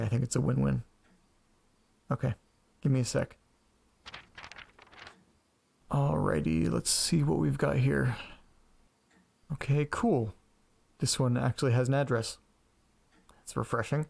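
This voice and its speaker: A man's voice: medium pitch, steady tone, a little slow, vocal fry, long pauses, a little mellow. A man acting as an anxious, chatty young man in an audio drama, rambling and hesitant in a casual, naturalistic way. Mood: tired, musing. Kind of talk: naturalistic first-person audio drama, talking to himself.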